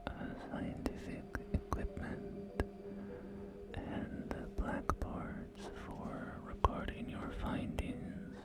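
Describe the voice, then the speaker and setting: A man whispering an ASMR roleplay as a polite, helpful real estate agent, in gentle, unhurried sales patter, with music behind him. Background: loud music and game audio.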